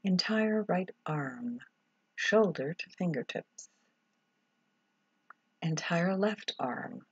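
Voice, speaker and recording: A low, breathy woman's voice leads a guided meditation at an extremely slow pace. She speaks calmly in short, measured phrases and leaves long pauses between them. The recording is a little dull. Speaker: yoga relaxation instructor. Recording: dull, roomy, echoing sound.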